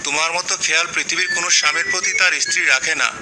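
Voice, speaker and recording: A man's voice: a little high, fast, close to an everyday voice. A man voicing a needy, doting village husband in a cartoon, plaintive and sentimental. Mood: affectionate. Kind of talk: cartoon voice acting. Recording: clear outdoor-like background noise.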